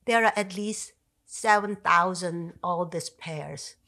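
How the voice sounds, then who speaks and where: A woman in her sixties, a native Korean speaker with a Korean accent, explaining language in an animated podcast chat, with many fillers and restarts. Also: on the low side, takes it slowly. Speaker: Korean language professor, guest. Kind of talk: conversational explanation. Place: home study with bookshelves. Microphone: podcast mic on stand.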